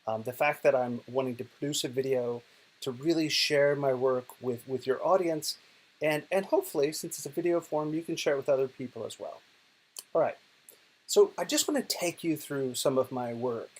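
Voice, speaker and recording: A man's voice: medium pitch, moderate pace, rough recording. A middle-aged man from Oklahoma with an American accent, giving a casual, chatty video talk about his work from bed while ill, with many fillers and restarts. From Oklahoma, United States. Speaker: digital poet and professor. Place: bedroom, lying in bed. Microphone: self-recorded video.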